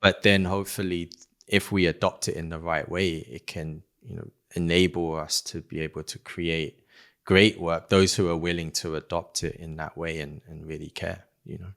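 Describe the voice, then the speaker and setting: A man's voice: deep voice, level tone, normal speed, gravelly, fairly bright tone. A man hosting a podcast interview, speaking reflectively and asking long, searching questions with some "um" fillers. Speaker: podcast host. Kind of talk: casual conversational interview.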